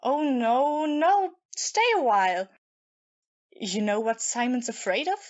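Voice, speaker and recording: A woman's voice: a little high, very animated, slow, dull audio. A woman in an audio play acting a bitter, drunken and volatile jilted young woman with a taunting manner.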